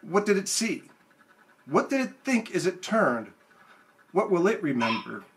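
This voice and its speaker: A man's voice: high voice, big pitch swings, unhurried. A man who chats casually and reads his own poem at an open mic. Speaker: poet. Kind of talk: casual chat and poem reading.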